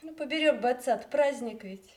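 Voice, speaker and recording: A woman's voice: high voice, fairly quick, natural voice. A woman acting an anxious, affectionate mother who coaxes her family, in a Soviet radio play. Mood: anxious, coaxing.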